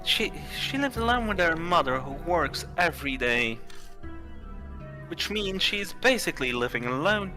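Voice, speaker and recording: A streamer reading a visual novel aloud and commenting on it, with a halting, unhurried delivery. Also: medium pitch, expressive, over music. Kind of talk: livestream game narration, read aloud.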